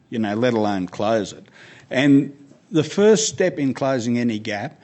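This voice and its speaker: Man, guest speaker in Q&A, earnest, emphatic, middle pitch. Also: darkish tone. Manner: earnest, emphatic, repeats words when starting. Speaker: guest speaker answering questions. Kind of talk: panel Q&A answers.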